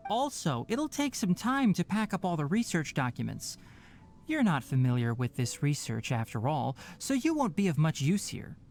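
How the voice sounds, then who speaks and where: A man playing a calm, scholarly young forest ranger in a game, who explains things in a measured way and gives gentle but firm instructions. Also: on the high side. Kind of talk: game cutscene dialogue. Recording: game audio with faint background music.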